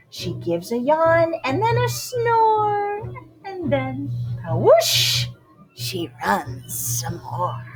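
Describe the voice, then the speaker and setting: A woman with a high, very lively voice reads a rhyming picture book for children. Her delivery is theatrical and unhurried, dropping to hushed whispers and rising to bold exclamations. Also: rough recording. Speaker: children's storybook reader. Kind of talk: animated read-aloud of rhyming picture book poems.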